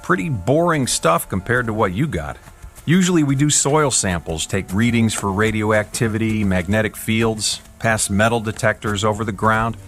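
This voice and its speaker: A man's voice: fairly low pitch, normal speed, soft background music, lightly acted. An actor playing a skeptical, easygoing male UFO investigator in a radio drama, speaking in a dry, teasing way. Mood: explanatory. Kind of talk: radio drama acting, conversational.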